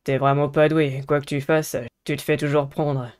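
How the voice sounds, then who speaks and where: Man playing an aloof, even-toned teenage schoolboy. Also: a little high, moderate pace, clean tone, lightly acted. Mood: mocking, cool.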